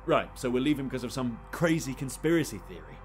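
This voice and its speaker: A man acts out a weary male doctor in an audio drama. He is irritable and sceptical, with a mid-pitched, very animated delivery and faint noise behind. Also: quick pace, lightly acted. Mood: exasperated.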